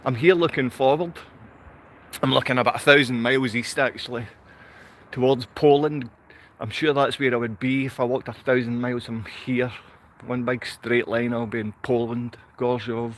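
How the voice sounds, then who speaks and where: A Glaswegian man speaks off the cuff to a livestream audience. His pitch sits in an average male range. He rambles, circles back on the same phrases and keeps throwing out rhetorical questions. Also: steady tone, normal speed, fairly bright tone, a little hiss or hum. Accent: Glaswegian Scottish. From Glasgow, Scotland. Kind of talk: unscripted livestream monologue to viewers.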